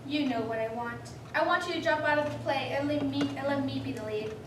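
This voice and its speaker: A woman's voice: high-pitched, a little fast, close to an everyday voice. A woman playing a smug, sarcastic teenage school bully with a taunting, mock-sweet delivery in a reader's theater performance. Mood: gloating. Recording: distant mic in echoey room, faint noise.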